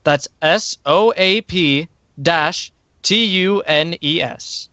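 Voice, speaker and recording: A man's voice: a little high, takes it slowly, pushed and bright, dry recording, dull audio. A man playing a breezy, confident student music promoter in an amateur radio play. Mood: breezy, assured.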